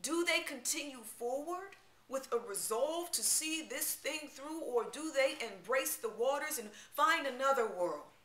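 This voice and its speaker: A woman performs spoken-word poetry in a fairly high, bright and forceful voice. Her delivery is theatrical and emphatic, with deliberate pauses between phrases. The sound is roomy and echoing. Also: lively intonation. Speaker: poetry reader.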